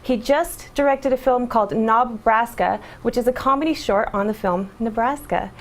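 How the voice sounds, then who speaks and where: A woman hosting a casual online interview, playful and quick to laugh. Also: on the high side. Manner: bubbly, playful, laughs often. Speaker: host.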